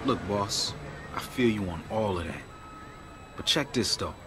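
Animated defiant young street hustler. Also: male voice, on the low side, measured pace, noisy background. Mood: skeptical. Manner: slangy, insistent. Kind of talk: video game cutscene acting. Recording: loud music behind the voice.

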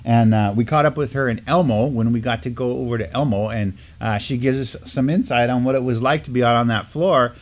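A man's voice: medium pitch, a little fast, hardly any pauses. Middle-aged American man hosting community news. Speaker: host of a community news show. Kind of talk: casual news chat. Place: indoor studio, seated at a desk.

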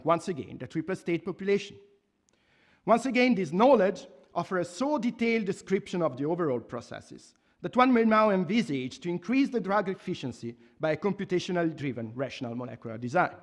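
Give me a man with an Italian accent delivering a measured formal tribute in a lecture hall, his voice a touch high. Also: fairly bright tone. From Italy. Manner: earnest, measured, with restarts and emphatic pauses. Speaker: professor giving a laudation speech. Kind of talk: formal speech read from notes. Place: university lecture hall, at a lectern. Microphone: microphone on a stand at a lectern.

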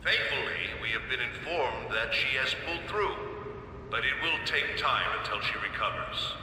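An actor playing a pompous middle-aged school principal, giving a formal, oratorical address in a video game. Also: male voice, expressive, moderate pace, bright, forceful tone. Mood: solemn, formal. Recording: echoey hall, rumbling noise.

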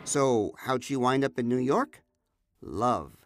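A man narrating a television feature story in a lively, storytelling manner. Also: mid-range voice, slow, background noise. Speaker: reporter. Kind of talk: scripted narration.

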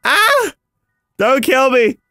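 A man's voice: very high voice, big pitch swings, extremely slow, pushed and bright, no echo, studio quality. A man in his twenties reacting casually and self-deprecatingly to a game. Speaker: gamer.

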